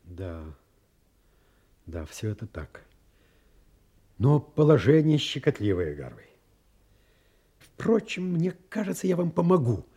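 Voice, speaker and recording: An actor playing a thoughtful, obliging doctor and wry confidant in an audio drama, musing hesitantly with dramatic pauses. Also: male voice, mid-range voice, big pitch swings, mellow, husky, exaggerated voice. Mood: incredulous, musing.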